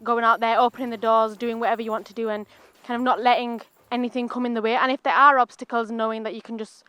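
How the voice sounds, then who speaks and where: An eighteen-year-old woman with a smooth, clear voice pitched a little high. She explains things eagerly and fluently in a casual interview. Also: flat delivery, fast talker, bright, forceful tone, dry recording. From Bradford, England. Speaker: interviewee, stage performer.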